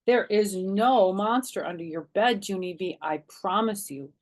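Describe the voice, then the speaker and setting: A woman voicing a firm, reassuring mother in a children's story, speaking slowly and deliberately. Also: mid-range voice, pitched above the performer's own voice, close to an everyday voice. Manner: slow, deliberate, emphatic.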